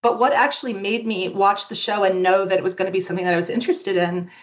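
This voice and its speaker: A woman's voice: mid-range voice, steady tone, fast, dull audio. A woman chatting casually as an interview guest, explaining and recommending things in an enthusiastic, emphatic way. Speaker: podcast guest, longtime fan fiction author and artist.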